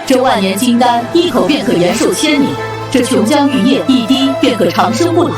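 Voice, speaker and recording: A woman's voice: on the high side, animated, brisk, close to an everyday voice. An actress playing a doting, stately heavenly queen mother who speaks in a commanding way. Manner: stately, commanding, affectionate to son. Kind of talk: costume drama acting. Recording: echoey with loud music score.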